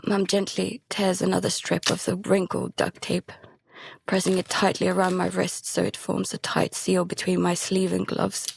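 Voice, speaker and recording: A woman playing a frightened, guilt-ridden daughter in an audio drama, narrating and speaking in an anxious, halting, hushed way. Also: on the low side, monotone, creaky, slight background noise. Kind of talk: audio drama, first-person present-tense narration and dialogue. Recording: music behind the voice.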